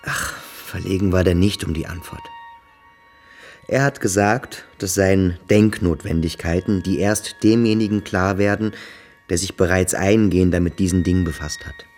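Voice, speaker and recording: A brooding young schoolboy in a literary radio play. His voice sits very low, earnest and reflective. He sounds searching and guarded, with a defiant streak. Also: normal speed, darkish tone, faint music behind. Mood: unsettled, searching. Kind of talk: German literary radio drama acting.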